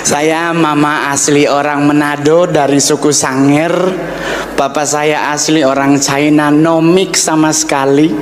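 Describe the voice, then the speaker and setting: A man from North Sulawesi doing a teasing, self-mocking stand-up set at a formal event, addressing the guest of honor again and again. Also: a little high. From Indonesia, North Sulawesi. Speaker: stand-up comedian. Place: large indoor event hall. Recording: echoey hall PA with noise.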